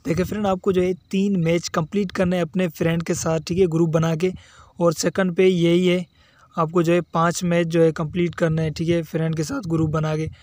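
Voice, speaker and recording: A man's voice: fairly high pitch, darkish tone, dry recording. A man hosting a step-by-step mobile game tutorial as a voice-over, instructional and often repeating the same verbal tags. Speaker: tutorial video host.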